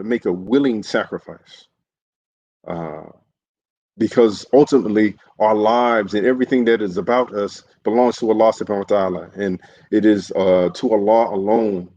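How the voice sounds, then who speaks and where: Man, imam, livestream sermon, earnest, halting, fillers and restarts, lowish voice, muffled lo-fi sound. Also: dark tone. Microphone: solo livestream setup.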